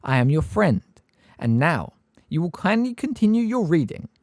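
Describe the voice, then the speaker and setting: Picture a prim, sugar-coated witch schoolteacher, put on by a man reading aloud, her voice pitched well up and swooping in a lively way. She speaks slowly and deliberately, with a cold edge under the sweetness. The storybook sound is close and dry. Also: no echo. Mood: sugary stern. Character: sugary, cold, pompous middle-aged witch teacher.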